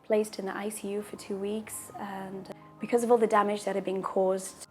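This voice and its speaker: A woman in her thirties, a native Portuguese speaker, telling her personal story in a calm, measured way, with a husky voice and deep breaths between sentences. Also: faint music behind. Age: 30s. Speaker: interviewee sharing a personal story. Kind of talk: personal testimonial.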